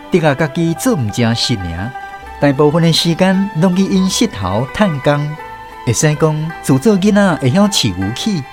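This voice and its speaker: A man with a Taiwanese accent narrating a scripted radio drama as a storyteller. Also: a little high.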